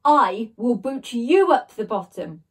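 A woman's voice: on the high side, big pitch swings, slow pace, breathy edge, heavily acted. A woman reading a storybook, voicing a mischievous, helpful shapeshifting blob of slime in a playful, assured way.

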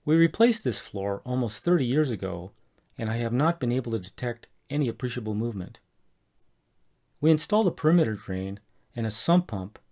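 Middle-aged man, mid pitch, measured scripted narration for a home-improvement tutorial. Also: a little mellow. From Colorado, USA. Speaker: homeowner explaining a DIY project.

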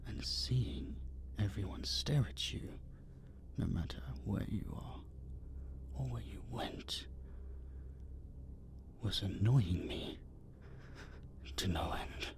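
A man playing a possessive, obsessive masked kidnapper in an intimate roleplay audio drama, coaxing and menacing in a breathy, gravelly, teasing whisper. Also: on the low side. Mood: coolly possessive. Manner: slow, dominant, cooing and teasing.

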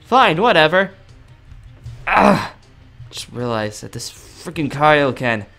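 Animated young man doing bright gaming roleplay. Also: fairly high pitch, takes it slowly, creaky, faint music behind. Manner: dramatic, cocky, excitable. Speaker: gaming roleplay video creator. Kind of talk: improvised in-character gameplay roleplay.

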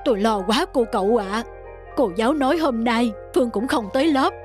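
An older housekeeper in a cartoon, acted by a woman, fretful and caring. Her voice sits fairly high, with faint music behind it. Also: a little fast, a little mellow, natural voice. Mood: worried. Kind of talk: cartoon dialogue.

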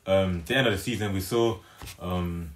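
A man's voice: low-pitched, normal speed, a little hiss or hum. A young man, co-hosting a reaction channel with casual banter in a room at home. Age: young adult. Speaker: YouTube reaction co-host. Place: small room at home, on a couch.

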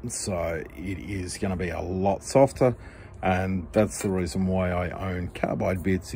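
A middle-aged Australian man, a knife maker, explaining his work step by step in a relaxed, practical way while he works at the bench. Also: deep voice, slight background noise. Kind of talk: workshop tutorial voice-over. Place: home workshop.

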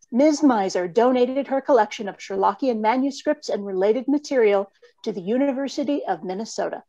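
A woman's voice: mid-range voice, dark tone. A middle-aged woman reading a scripted, formal introduction as host of a performance, over a video call. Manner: formal, measured presenting. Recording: dull video call audio.

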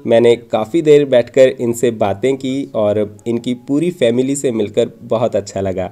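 A man's voice: mid-range voice, normal speed, mellow, faint music behind. A man narrating a travel vlog from a script, explaining things in an informative, orderly way. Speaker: travel vlogger and host.

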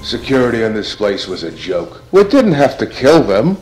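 A man playing an irritable, bickering armed robber in a comic-book dub, gruff and snappish. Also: fairly low pitch, very animated, normal speed, a little mellow, faint music behind. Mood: irritated. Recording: roomy echo on the voice.

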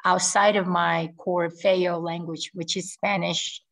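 A woman's voice: lowish voice, flat delivery, takes it slowly, gravelly, a bit bright. A middle-aged woman, a native Korean speaker, speaking earnestly on a video call from home, with frequent ums and restarts. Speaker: guest air force officer. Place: home room with ceiling fan. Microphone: laptop webcam on video call.

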